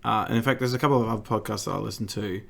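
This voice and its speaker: An Australian man hosting a casual podcast chat, croaky and gravelly from a recent cold, stammering through restarts. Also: on the low side, steady tone, rapid-fire, fairly bright tone. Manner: stammering restarts, laughs often. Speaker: podcast host.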